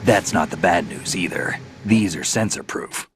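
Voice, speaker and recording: A man's voice: low voice, unhurried, a little hiss or hum. An actor playing a seasoned male soldier in a video game cutscene, terse and matter-of-fact. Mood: brisk, tactical. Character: seasoned male soldier, gruff, calm, dependable. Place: recording studio. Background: game score music.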